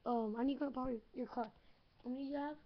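A woman improvising toy-figure dialogue for a home video, halting and giggly, with lots of fillers and restarts. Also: high-pitched, fairly even intonation. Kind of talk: playful improvised toy-movie chatter. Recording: muffled lo-fi home recording.

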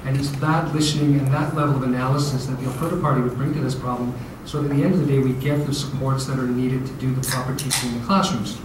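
Give me a persuasive politician echoing in a hall. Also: male voice, mid-range voice, steady tone, fast, hardly any pauses. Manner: fluent, persuasive. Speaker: politician on a panel. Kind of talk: panel debate answer. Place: large hotel meeting room. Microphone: handheld mic. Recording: far-off echoey hall with noise.

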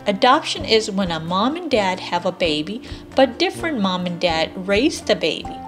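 A woman voicing a friendly, playful young girl in a children's storybook read-aloud, with expressive reading. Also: medium pitch, moderate pace, over music, close to an everyday voice. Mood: gentle, explaining.

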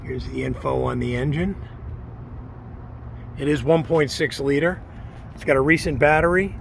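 A man's voice: mid-range voice, moderate pace, vocal fry. A man presenting a vehicle for sale in a walkaround video, matter-of-fact, speaking in short, list-like phrases. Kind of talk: walkaround sales video commentary. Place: outdoors in an open parking lot. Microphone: handheld camera or phone, speaker off camera. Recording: outdoor noise, handheld camera audio.

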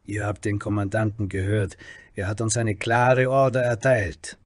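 A man's voice: fairly low pitch, flat delivery, normal speed, dry recording, studio quality, lightly acted. A man voicing an indignant, comfort-loving paladin who scolds his companions in a fantasy story. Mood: scolding. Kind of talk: fantasy audio story character.

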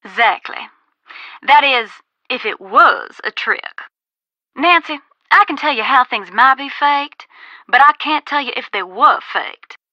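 Bright, folksy Southern woman. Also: sits high, lively intonation, measured pace, dry recording, lo-fi sound, full character voice. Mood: cautionary. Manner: folksy, drawling, cautionary. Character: folksy, cautious Southern woman ex-ghost hunter. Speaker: game character: former ghost hunter. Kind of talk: video game dialogue, conversational phone-call acting.

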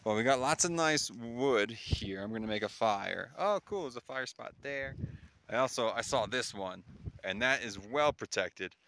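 A man's voice: mid-range voice, animated, moderate pace, fairly bright tone. Man vlogging casually, noisy outdoor recording. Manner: chatty, exclaiming in wonder, often chuckling. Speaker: solo hiker vlogging. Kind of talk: casual vlog narration while filming. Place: outdoors in windy, open mountain terrain. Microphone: handheld phone or camera while walking.

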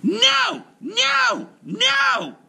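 Storybook casting: furious goddess, very high, screaming, forceful. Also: male voice, very animated, extremely slow, creaky, full character voice. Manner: screaming, shrill. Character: furious young goddess refusing marriage, shrill and screaming.

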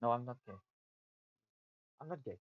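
A young man with a Russian accent, hosting a casual joking chat on a voice call, stammering and excitable. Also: medium pitch, normal speed, no echo, phone-quality sound. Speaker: channel host. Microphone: phone on a voice call.

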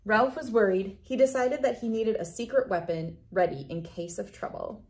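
A woman's voice: medium pitch, normal speed, a little mellow. A woman in her thirties reading a picture book aloud as a children's read-aloud host. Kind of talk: storybook read-aloud narration. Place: small wood-panelled room indoors.

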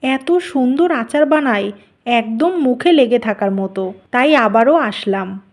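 A woman acts as an older mother-in-law in a cartoon tale. Her voice is fairly high, and she speaks as an appreciative family elder. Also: lively intonation, unhurried. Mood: praising. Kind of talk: Bengali cartoon story dubbing.

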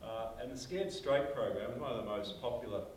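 A man's voice: medium pitch, a little fast, darkish tone, far from the mic, slight background noise. Emphatic older Australian man, echoey room. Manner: emphatic storyteller, gestures while talking. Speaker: panelist. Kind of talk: animated panel discussion. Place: small meeting room.

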